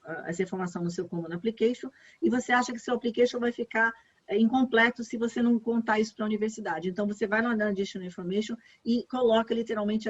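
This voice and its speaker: A Brazilian woman walks through an online application form in a relaxed, chatty way, repeating the points she wants to stress. Her voice is a little husky, and the video call audio is dull and lo-fi. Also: on the high side. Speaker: education advisor. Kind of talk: online workshop walkthrough.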